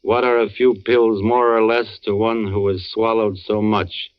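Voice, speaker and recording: An actor playing an earnest, somber man, a knowing family friend, with measured dramatic delivery in 1940s radio style. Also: lowish voice, little pitch movement, clean tone, lightly acted. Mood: grave. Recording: muffled old radio recording.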